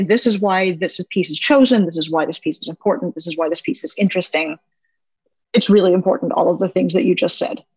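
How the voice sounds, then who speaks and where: A woman's voice: fairly low pitch, darkish tone, poor audio. A woman hosting a music podcast, speaking enthusiastically and with emphasis to her guest and her listeners. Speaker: podcast host. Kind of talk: interview and scripted outro.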